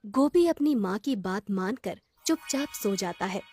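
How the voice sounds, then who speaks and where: A woman's voice: medium pitch, expressive, unhurried, warm tone, breathy edge, soft background music. A woman narrating a scripted children's story.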